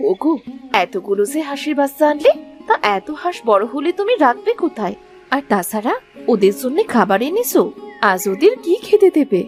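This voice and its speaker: Woman playing practical village wife, lively intonation. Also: sits high, fairly quick, soft background music. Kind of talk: cartoon story voice acting.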